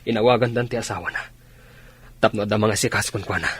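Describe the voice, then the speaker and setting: A man's voice: on the low side, brisk, a bit bright, breathy edge, dry recording. An actor playing a grave, firm army officer concerned for his men in an Ilocano radio drama.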